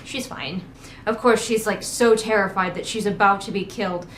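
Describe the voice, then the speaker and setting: A woman's voice: medium pitch, fairly even intonation, normal speed, fairly bright tone, rough recording. Woman doing a casual snarky book rant, kinda exasperated, bit of noise behind her. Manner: exasperated, mocking asides and acted-out quotes. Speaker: book critic hosting a readalong. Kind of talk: casual sarcastic commentary. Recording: noticeable background noise, train outside. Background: ambient noise, passing train at times.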